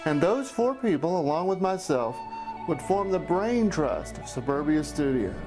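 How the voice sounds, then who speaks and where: Higher-voiced man narrating, music behind. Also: big pitch swings, normal speed. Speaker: documentary narrator, amateur filmmaker. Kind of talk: personal scripted narration, looking back.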